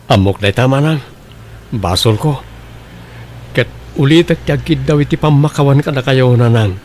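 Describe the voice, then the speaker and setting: A man's voice: medium pitch, moderate pace, a little mellow, slight background noise, lightly acted. A man with an Ilocano accent playing a humble, earnest young farmer son in an audio drama. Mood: remorseful.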